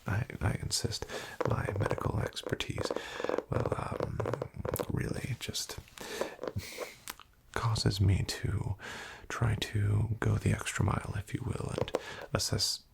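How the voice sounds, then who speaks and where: A man whispering softly as a polite, hesitant doctor in an ASMR roleplay, with a wry bedside manner. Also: lowish voice, little pitch movement, slow. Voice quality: whispered, gravelly. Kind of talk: soft-spoken ASMR roleplay.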